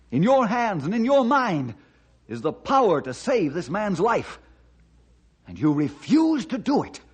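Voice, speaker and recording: An actor playing an earnest, forceful ranger in old-time radio drama style, insistent and declamatory. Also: male voice, mid-range voice, husky. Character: earnest forceful male ranger, urging and commanding.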